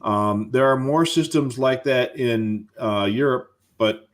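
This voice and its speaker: An American man co-hosting a live show, giving casual, measured technical explanations. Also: medium pitch.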